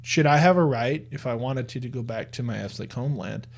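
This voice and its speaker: A man's voice: mid-range voice, fairly quick, creaky. Earnest man arguing a point. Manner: earnest, argumentative, restarts and repeats words. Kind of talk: conversational religious commentary.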